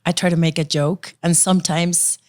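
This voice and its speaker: A woman's voice: lowish voice, normal speed. Effusive woman podcast host. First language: Spanish. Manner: warm, effusive and excited, speaking English as a second language. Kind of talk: podcast interview.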